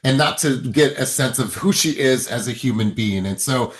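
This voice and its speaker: A man's voice: medium pitch, moderate pace. A man hosting a group coaching session on a video call, upbeat and hyping up his audience with repeated phrases. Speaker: sales coach and session host.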